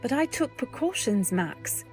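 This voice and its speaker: A woman narrating and acting a young wife in an old-time radio drama, earnest, anxious, and gentle. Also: fairly high pitch, very animated, a little slow, over music. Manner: earnest first-person storytelling, anxious and gentle. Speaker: narrator and actor.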